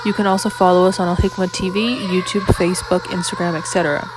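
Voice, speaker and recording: A woman reading a promotional announcement in a brisk, informative way. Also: on the low side, level tone, background noise.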